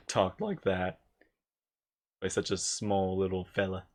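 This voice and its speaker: Casting: female game streamer, very deep for a woman, swinging intonation, off-the-cuff chatty reactions, music behind. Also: sing-song, takes it slowly, creaky. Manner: chatty, talks back to characters. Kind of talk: casual live reaction commentary to a video game.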